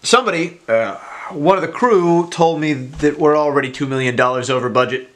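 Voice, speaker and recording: A man off camera reading the part of a calm, seasoned actor in a plain, matter-of-fact way. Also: fairly high pitch, a little fast. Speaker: off-camera scene reader. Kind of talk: reading lines in a scene.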